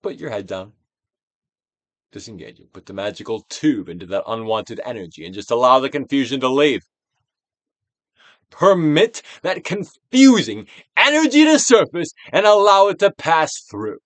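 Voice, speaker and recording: A man giving a dramatic, theatrical reading of a self-help book as a guided exercise, with deliberate, swelling and falling emphasis. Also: medium pitch, big pitch swings, no room sound. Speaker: narrator, audiobook reader.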